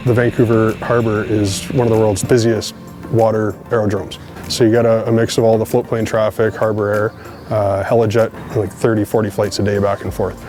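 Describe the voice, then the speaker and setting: A man's voice: on the low side, level tone, a little fast. A man explaining aviation informally, as a pilot would, with music behind him. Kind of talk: informal explanatory interview talk.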